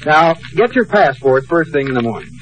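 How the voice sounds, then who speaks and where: An actor playing an earnest, exasperated American husband in a 1940s radio sitcom, with an excitable delivery. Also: male voice, a little high, a little fast, soft background music, rough recording. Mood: practical. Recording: old radio show, audience behind.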